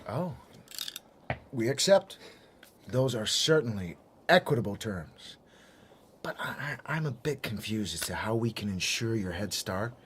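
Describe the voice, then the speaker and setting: An actor playing a calculating, polite negotiator in a radio drama, measured and dryly ironic. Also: male voice, on the low side, lively intonation. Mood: placating. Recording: faintly noisy radio drama recording.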